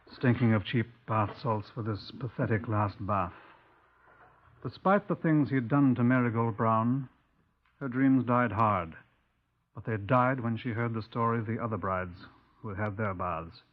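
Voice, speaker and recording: A man playing a stern, methodical police inspector in a 1950s radio drama, speaking in a measured, dry, formal manner. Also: mid-range voice, lightly acted. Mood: grave, dry. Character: stern, methodical male police inspector recounting his case. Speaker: narrator and detective character. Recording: tinny, narrow-band 1950s radio recording.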